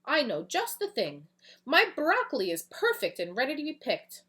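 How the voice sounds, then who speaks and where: A woman's voice: high voice, huge pitch swings, normal speed, a bit bright, pitched above the performer's own voice, full character voice. A woman voicing a kind, fussing farm lady in a children's story, flustered and motherly. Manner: flustered, pleading, then motherly.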